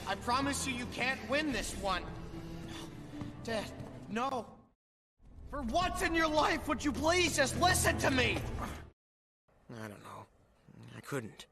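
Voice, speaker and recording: A man voicing an awkward, sarcastic, scrawny teenage Viking boy in an animated film, nasal, with a wry, stammering delivery. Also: very high voice, takes it slowly, pauses often, music in the background, exaggerated voice. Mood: frustrated.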